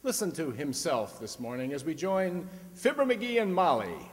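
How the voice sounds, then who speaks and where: A male announcer delivers scripted copy in old-time radio style. His voice is on the higher side, polished and presentational, with long reverb from a large hall. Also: big pitch swings, normal speed.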